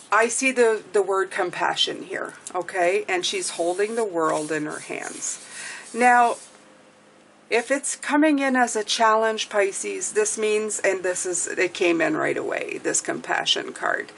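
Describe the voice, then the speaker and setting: A woman's voice: mid-range voice, takes it slowly, gravelly, fairly bright tone, a little hiss or hum, low-quality recording. A woman whose first language is French, speaking English with a French accent, gives a casual tarot reading and keeps checking in with "okay?". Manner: chatty, reassuring, often ends with "okay?". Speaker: tarot reader.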